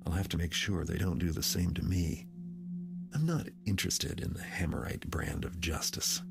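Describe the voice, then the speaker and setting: A jaded master thief from a video game, mutters his thoughts in a cool, dry way. The voice sits very deep and drags with a thick gravelly fry. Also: very animated, normal speed, heavily acted. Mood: wry, brooding. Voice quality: gravelly, low. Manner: dry, brooding muttered monologue. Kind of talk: video game cutscene voice acting.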